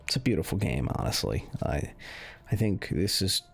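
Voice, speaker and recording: A man's voice: sits low, sing-song, normal speed, gravelly. A man giving casual, unscripted commentary as a game reviewer, explaining things in a meandering, hesitant way. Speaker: video game reviewer running benchmarks. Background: music under the voice.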